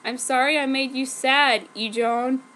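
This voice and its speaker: Woman as a brooding, high-voiced vampire boyfriend. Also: steady tone, a little slow, fairly bright tone. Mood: apologetic. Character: brooding, sorrowful teenage vampire boyfriend, apologetic. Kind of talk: mock-dramatic fan fiction reading. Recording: clearly noisy home recording.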